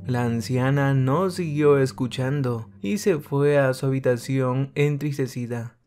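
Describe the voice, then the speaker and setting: A man narrates a dramatic family tale in a calm, level reading voice. His pitch sits right in the middle for a man. Faint guitar music runs quietly beneath his words. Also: a little slow, clean tone. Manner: even, steady reading that speaks every character's lines in the same voice. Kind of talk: scripted storytelling narration. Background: soft guitar music.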